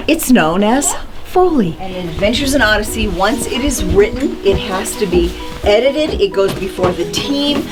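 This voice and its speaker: A woman giving an explanatory tour, telling it like a story with theatrical little demonstrations. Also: medium pitch. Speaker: studio tour guide.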